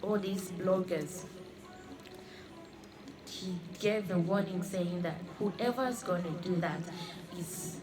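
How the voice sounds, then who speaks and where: Woman, 30s, low-ish voice, earnest halting testimony, clear music bed behind. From Congo, raised in Australia. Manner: earnest, halting, repeats phrases. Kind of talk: personal religious testimony. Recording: music playing under the voice. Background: clear background music.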